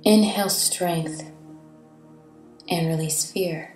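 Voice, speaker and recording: A woman guiding a meditation in a soothing, gentle, deliberate way with long pauses, her phrases trailing into breathiness. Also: lowish voice, fairly even intonation. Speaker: meditation guide. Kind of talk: guided meditation narration. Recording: reverberant, distant-sounding voice over soft music.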